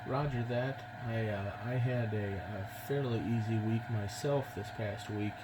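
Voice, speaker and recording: A man's voice: fairly low pitch, measured pace, heavy background noise. An American man chatting casually as an amateur radio operator, speaking politely and a little hesitantly. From United States. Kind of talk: casual ham radio contact.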